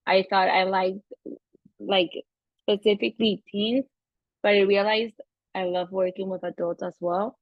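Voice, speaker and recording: A young woman with a Venezuelan accent, a native Spanish speaker, talking thoughtfully and a little haltingly as a therapist guest in a casual interview. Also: mid-range voice, fairly even intonation, dry recording. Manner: thoughtful, halting, searching for words. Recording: slightly dull lo-fi audio.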